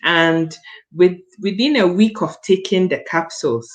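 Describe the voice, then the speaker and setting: A woman's voice: on the low side, measured pace. A woman in her late thirties giving a conversational personal testimonial as a guest, stressing her points emphatically with repeated phrases. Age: late 30s.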